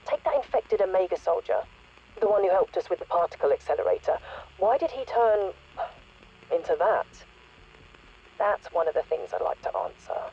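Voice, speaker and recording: A woman's voice: mid-range voice, animated, moderate pace, gravelly, long pauses, dark tone, slightly rough. Inquisitive woman scientist, conversational and self-correcting, video game audio log, dull lo-fi sound. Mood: curious. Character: earnest, curious woman scientist with a conscience. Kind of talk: acted audio log, thinking aloud.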